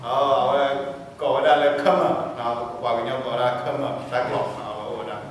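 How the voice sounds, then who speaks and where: A man's voice: medium pitch, racing. A man working as a pastor and interpreter, relaying a message to a church congregation, repeating himself as he searches for words. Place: large echoey indoor room. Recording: very echoey hall from far off, faint noise.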